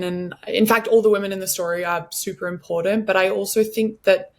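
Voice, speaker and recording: Actress being interviewed, normal mid female voice, chatty explaining style. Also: mid-range voice. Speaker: actress being interviewed about her role. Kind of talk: conversational interview answers.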